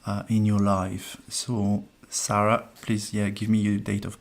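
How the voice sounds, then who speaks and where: A man hosting a casual live numerology stream, soft-spoken and hesitant as he thinks aloud. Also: on the low side, completely flat delivery, measured pace. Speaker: numerologist host.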